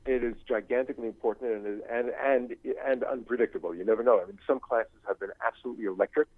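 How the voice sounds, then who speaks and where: An older man giving an unscripted interview as a guest professor, halting and searching, often circling back over his phrases. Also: mid-range voice, fairly even intonation, no echo. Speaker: guest, screenwriting professor and writer. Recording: thin phone-like line.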